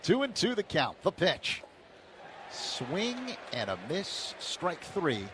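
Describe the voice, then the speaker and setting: A man calling baseball play-by-play on the radio in a steady, measured way. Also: on the high side, noisy background. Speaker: play-by-play announcer.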